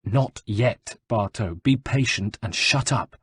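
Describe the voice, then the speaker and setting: A man voicing a confident Italian assassin leader in an audiobook, calm, firm, terse and wry. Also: on the low side, slow pace, breathy edge, no echo, exaggerated voice. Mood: curt. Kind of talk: dramatised audiobook narration.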